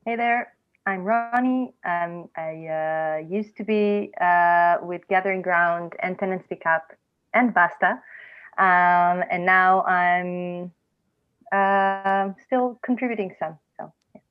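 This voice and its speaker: Slow, hesitant woman on dull call audio. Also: lowish voice, steady tone, clear, resonant tone. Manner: hesitant, halting. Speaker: theatre group contributor, panelist. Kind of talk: casual self-introduction.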